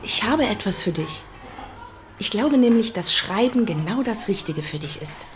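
A woman's voice: medium pitch, lively intonation, moderate pace, close to an everyday voice. A woman playing a patient, encouraging primary school teacher in a radio drama. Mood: gentle, encouraging. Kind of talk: German radio drama acting.

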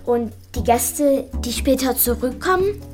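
Very high-pitched young girl, confident, practical, matter-of-fact, cheeky, radio drama. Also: animated, measured pace, a little mellow, soft background music. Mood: curious, teasing. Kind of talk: German children's radio play acting.

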